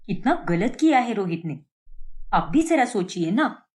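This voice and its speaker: A woman's voice: mid-range voice, very animated, unhurried, a little mellow. Lady doing a suspicious young wife in a cartoon, whiny complaints, sarcastic, voice swings up and down. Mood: indignant, sarcastic. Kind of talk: Hindi cartoon moral-story voice acting.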